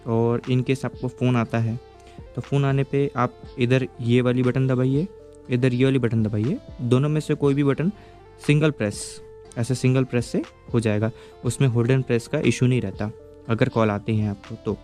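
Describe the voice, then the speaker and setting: Mellow-voiced man demoing a gadget. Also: medium pitch, steady tone, normal speed, faint music behind. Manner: explains patiently, checks in with the viewer. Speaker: tech product reviewer. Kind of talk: casual step-by-step product demo.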